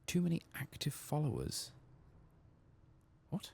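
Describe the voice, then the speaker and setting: A man giving soft-spoken, partly whispered ASMR commentary as he plays a game, murmuring his thoughts aloud. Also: a little high, huge pitch swings, unhurried, creaky, breathy edge. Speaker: gaming channel host.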